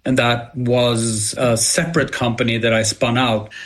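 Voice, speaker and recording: A middle-aged man from Sweden with a Swedish accent, speaking English as a second language and giving measured, candid interview answers. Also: mid-range voice, flat delivery, a bit bright. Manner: measured, candid, occasional hesitant restarts. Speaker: guest entrepreneur.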